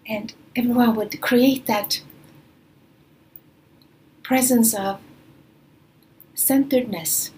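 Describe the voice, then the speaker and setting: Woman, native Swedish speaker, spiritual teacher, unhurried, hushed, faint hiss behind. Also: on the high side, low-quality recording. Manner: slow, soft, often near a whisper. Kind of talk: spiritual talk. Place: traveling, away from her studio.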